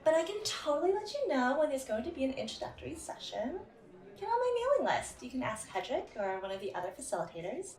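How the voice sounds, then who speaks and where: Bubbly, high-pitched workshop leader played by an actress. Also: female voice, darkish tone, distant mic. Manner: effusive, upbeat. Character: bubbly, gushing woman, welcoming workshop leader of an organization. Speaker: workshop facilitator. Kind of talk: audio drama dialogue. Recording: roomy, echoey, away from mic.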